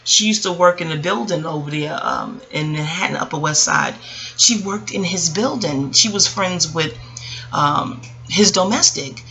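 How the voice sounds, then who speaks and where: A woman's voice: deep voice, unhurried, gravelly, pushed and bright. An American woman vlogging in a casual, reflective storytelling monologue, rambling off on tangents and talking straight to her listeners. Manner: rambling and digressive, addressing listeners directly.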